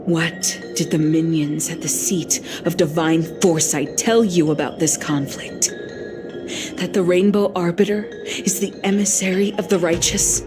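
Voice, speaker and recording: Woman as a slow, solemn cult mistress over music. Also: fairly low pitch, steady tone, lightly acted. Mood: grave, urging. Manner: measured, formal, deliberate. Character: serene, solemn female cult master of disciples, gently imperious. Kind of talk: dramatic video game voice acting. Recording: game dialogue with loud background music.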